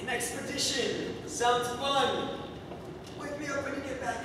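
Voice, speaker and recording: A young man playing a cynical, sleepy, sarcastic stranded traveler in a high school stage play. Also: steady tone, unhurried. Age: young adult. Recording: echoey hall from far off, room noise.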